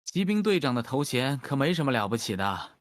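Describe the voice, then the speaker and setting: An actor playing a suave, sly cavalry captain in a fantasy game, teasing and playfully mocking. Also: male voice, medium pitch, big pitch swings, brisk, dry recording, close to an everyday voice.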